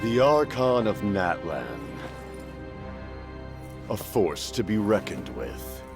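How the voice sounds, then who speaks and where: A slow, grandiose villain. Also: male voice, lowish voice, very animated, over music, exaggerated voice. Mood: menacing. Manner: slow, ominous, declamatory. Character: menacing male villain, cold and grandiose. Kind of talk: game voice acting.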